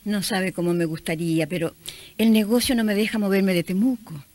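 A woman playing a kindly, hopeful shopkeeper mother in a radio drama, speaking gently and reassuringly in conversation. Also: mid-range voice, fairly quick, lightly acted. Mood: friendly.

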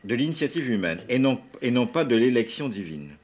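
A man's voice: medium pitch, very animated, fairly quick, darkish tone. A man from Canada, an interviewer asking short, direct questions.

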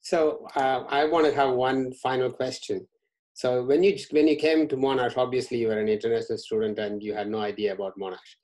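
A middle-aged man interviewing a student over a video call from a small room at home, speaking in a measured way and often repeating words. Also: medium pitch, fairly even intonation, darkish tone, poor audio. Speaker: interviewer, university staff. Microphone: video call webcam.

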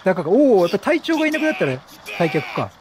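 A man giving casual video game commentary, chatty and jokey, reacting out loud with exclamations. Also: high-pitched, big pitch swings, racing, background noise. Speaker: game streamer.

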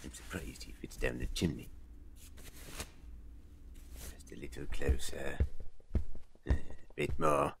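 A man playing a gruff, suspicious alien warrior in an audio drama, gravelly, with a pompous, blunt and formal manner. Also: rumbling low, fairly even intonation, slow pace, long pauses, a bit bright, slight background noise, exaggerated voice. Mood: suspicious, sneaking. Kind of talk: fan audio drama acting.

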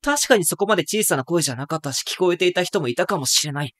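A man playing a wry, self-mocking high school boy who narrates an anime audio drama with dry asides. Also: lively intonation, fast, fairly bright tone, no room sound. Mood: uneasy.

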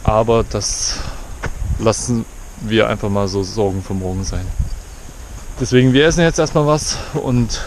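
A young man talking casually into an action camera held at arm's length outdoors, relaxed and chatty, explaining as he goes. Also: on the low side, unhurried, pauses often. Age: young adult. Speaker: vlogger. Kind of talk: casual travel vlog. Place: outdoors by a lake and road in a forest. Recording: outdoor camera audio with clear background noise.

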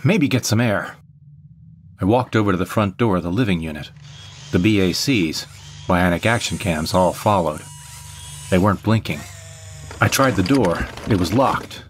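A man's voice: low-pitched, moderate pace, slight background noise. A man reading first-person scripted narration for an audio drama.

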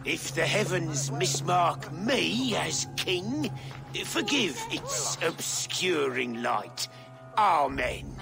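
An actor voicing a proud, deposed older king in a game cutscene, speaking in a gravelly, theatrical way. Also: a little high, big pitch swings, very slow, music in the background, heavily acted. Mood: mocking. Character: deposed proud old male king, mocking then begging. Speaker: game character.

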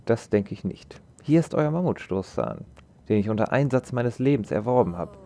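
A man's voice: fairly low pitch, animated, normal speed, creaky, dark tone. A man giving casual let's play commentary, rambling and joking irreverently.

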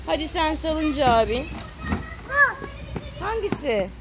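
A woman with a high voice films a casual family vlog on her phone. She speaks slowly, with a sing-song lilt when calling out to children. Clear outdoor noise sits behind her at the playground. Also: expressive. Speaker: parent filming a family vlog. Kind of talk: casual chat to camera and children. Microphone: handheld phone camera. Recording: noisy outdoor phone recording.

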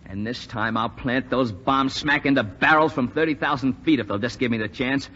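An actor playing an eager, headstrong young would-be flyer in a 1940s radio drama, earnest and stammering. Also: male voice, mid-range voice, moderate pace, pushed and bright. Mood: determined. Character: eager, headstrong young washed-out Air Forces flyer from Texas. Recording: muffled, noisy, reverberant 1940s radio recording.

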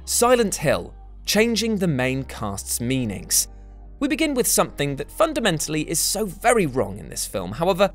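Animated man presenting a countdown. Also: mid-range voice. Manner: animated, theatrical emphasis, sarcastic asides. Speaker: list video presenter. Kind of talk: scripted, jokey countdown commentary. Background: background music.

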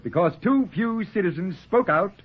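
A man's voice: sits high, animated, moderate pace, breathy edge. A man playing a stubborn, principled cafe owner, wry and articulate, in 1940s radio drama style. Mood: impassioned. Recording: tinny old 1940s radio recording.

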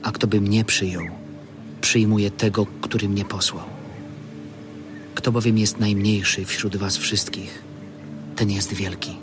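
A calm, gentle holy teacher in a biblical radio drama. He speaks low and slowly, softly, over music. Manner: calm, soft-spoken.

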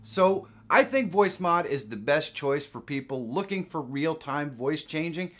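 A man in his fifties with an American accent, giving a casual software tutorial in a plain-spoken, conversational way. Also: fairly high pitch, lively intonation, unhurried. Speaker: tutorial presenter. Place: small indoor home studio.

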